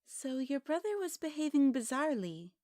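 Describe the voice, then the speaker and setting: A woman playing a calm, guarded elf mother in a fantasy audio drama, thoughtful and reassuring. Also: sits high, moderate pace, no echo, studio quality. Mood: pensive.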